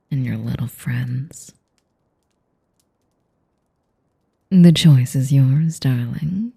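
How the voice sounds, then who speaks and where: Woman acting ancient female vampire, low-pitched, very breathy half-whisper, seductive, menacing, condescending, audio roleplay. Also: warm tone, heavily acted. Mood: mocking, amused. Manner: slow, velvety taunting with condescending endearments. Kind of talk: audio roleplay addressed to the listener.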